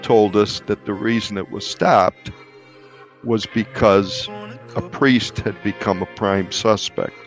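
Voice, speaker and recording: A man who works as a newspaper reporter, recounting in a measured way during an interview and repeating words for emphasis. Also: fairly low pitch, steady tone, faint music behind.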